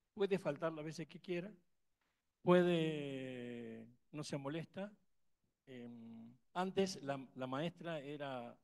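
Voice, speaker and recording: A man's voice: medium pitch, a little mellow. A man with an Argentine accent, speaking up from the audience at a conference, emphatic and rambling with many restarts. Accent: Argentine Spanish. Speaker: conference attendee asking a question. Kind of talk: impassioned audience remark.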